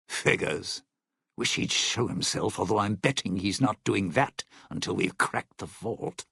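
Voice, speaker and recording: An actor playing a sly, bitter, scheming lounge singer in a video game, with a raspy, gravelly voice and a wry, smooth-talking, theatrical manner. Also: male voice, medium pitch, fairly bright tone, no echo, clean studio sound, exaggerated voice. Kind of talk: video game character dialogue.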